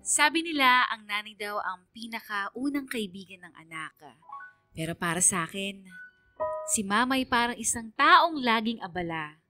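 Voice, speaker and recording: A woman's voice: fairly high pitch, lively intonation, normal speed, music in the background, natural voice. A woman playing a lonely, wistful female student, narrating a radio drama letter in a plaintive, confiding way.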